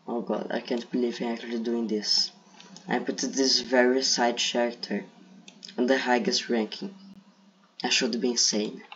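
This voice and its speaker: A man's voice: mid-range voice, husky. A man from Brazil with a Brazilian accent, speaking English as a second language, casually ranking game characters while thinking aloud. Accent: Brazilian Portuguese. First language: Portuguese. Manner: hesitant, thinking aloud with fillers. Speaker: gaming video creator. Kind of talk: casual tier list commentary. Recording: dull lo-fi mic.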